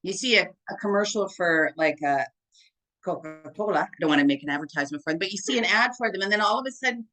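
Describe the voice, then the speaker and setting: A woman with a deep, full voice, talking as a hypnotherapist guest in a casual interview, chatty and full of "like" and "right?". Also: lively intonation, fast talker, fairly bright tone, low-quality recording. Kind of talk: casual conversation.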